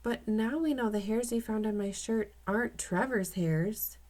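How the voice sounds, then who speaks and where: A woman's voice: a little high, normal speed, darkish tone, pitched above the performer's own voice, natural voice. A woman reading aloud as an earnest, curious boy detective in a children's storybook. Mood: earnest, thoughtful.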